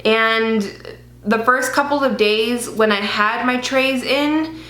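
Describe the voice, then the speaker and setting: A woman's voice: fairly high pitch, slow pace, a bit bright. A woman talking casually in a personal vlog, with lots of fillers, occasional swearing and a slight lisp from dental aligners. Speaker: vlogger. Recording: roomy echo.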